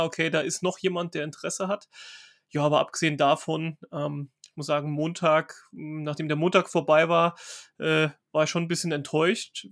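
A young man hosts a casual podcast, his voice sitting slightly higher than most men's. He talks in an easygoing way, thinking aloud and leaning on fillers as he goes. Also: fairly bright tone. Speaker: podcast host.

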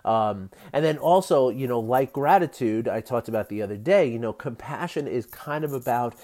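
A man hosting his own podcast, talking in a rambling, earnest way with lots of fillers and soft laughs, his voice scratchy and tired. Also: medium pitch, expressive, normal speed. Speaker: podcast host. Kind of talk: casual solo podcast talk.

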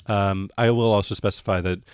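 A man with a fairly low voice acts as game master, describing scenes off the cuff and haltingly. The sound is dry and close. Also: fairly even intonation, clear, resonant tone, no echo. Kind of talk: improvised descriptive game narration.

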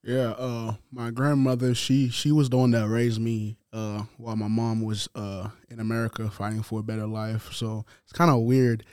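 A man in his twenties from Haiti, a college football player answering interview questions in a soft-spoken, halting way. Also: mid-range voice, level tone, clear, resonant tone, dry recording, clean studio sound. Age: 20s.

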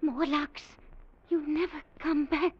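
Very high-pitched, frightened childlike young woman. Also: steady tone. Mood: panicked, pleading. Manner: halting broken speech in short fragments. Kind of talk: 1940s-50s radio drama acting. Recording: dull, faintly noisy old radio recording.

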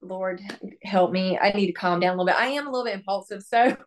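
A young woman, a country singer, chatting upbeat in a casual podcast interview, her voice hoarse from laryngitis and laughing often. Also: medium pitch, fast.

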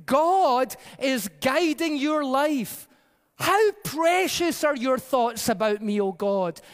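High-voiced male pastor in a reverberant hall. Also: lively intonation, takes it slowly. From Peru-born, raised in the UK by Scottish parents. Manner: conversational storytelling preaching, varied pace. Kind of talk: sermon.

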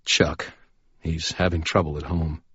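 A man voicing a calm, principled middle-aged contractor who speaks quietly and measuredly. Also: extremely low pitch, measured pace, breathy edge, dull audio, heavily acted. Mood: gentle.

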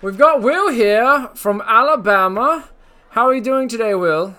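A man voicing a rambling, laid-off cousin who now hosts a podcast game show, with a high put-on voice and slangy bluster. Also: lively intonation, unhurried, pushed and bright, clear, resonant tone, heavily acted. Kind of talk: comedic character monologue on a podcast. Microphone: podcast mic.

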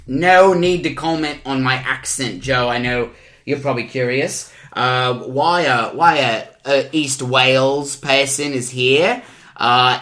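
A bumbling, self-important talk-show host, played by a man in an improvised comedy bit. He has a bright voice at an ordinary pitch, and he rambles along with plenty of ums and restarts. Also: close to an everyday voice. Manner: rambling, hesitant, full of ums.

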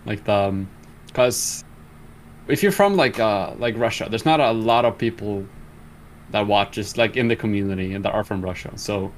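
A man's voice: on the low side, moderate pace, slight background noise. A man guesting on a streamer podcast, speaking hesitantly with many ums and restarts. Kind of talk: casual chat.

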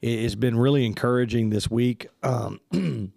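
A man's voice: mid-range voice, creaky, a little mellow, no echo, studio quality. A man hosting a church podcast, sounding congested and talking casually with many fillers. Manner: friendly, halting with many fillers. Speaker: podcast host.

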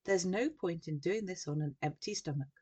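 A woman's voice: on the low side, moderate pace, darkish tone, slightly rough. A woman voicing a caring, practical grandmother in a storybook, calling out to her grandson in a motherly way. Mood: caring, insistent.

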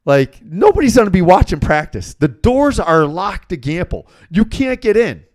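An American man hosting a sports podcast as a coach, talking casually and bluntly in an animated storytelling way. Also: high voice, normal speed. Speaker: sports betting podcast host and basketball coach.

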